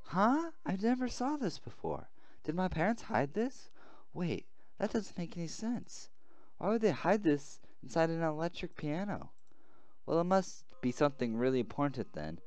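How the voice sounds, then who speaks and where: Man putting on a high, girlish anime heroine. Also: very animated, normal speed, darkish tone, no room sound. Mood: puzzled, curious. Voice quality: high-pitched put-on girlish voice. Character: girl, curious, earnest, sweet anime heroine. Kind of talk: visual novel character read-aloud.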